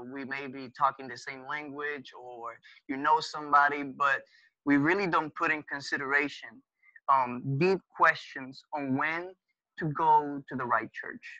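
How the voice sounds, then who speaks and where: A man in his thirties whose first language is Spanish, talking as a podcast guest over a video call with many pauses and fillers. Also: mid-range voice, pushed and bright, dry recording. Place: small room at home. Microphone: webcam video call. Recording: dull lo-fi webcam audio.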